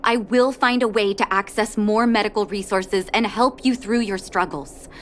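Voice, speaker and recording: An actress playing a calm, resolute doctor in a video game, speaking in a composed, measured and firm way. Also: female voice, mid-range voice, a little hiss or hum, natural voice. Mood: gentle firmness. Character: calm, resolute, dutiful woman doctor of the underworld.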